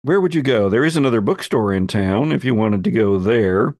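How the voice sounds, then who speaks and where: Expressive man with gravelly creak, game master. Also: lowish voice, a little fast, no room sound, studio quality. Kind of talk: narrating a tabletop roleplaying game.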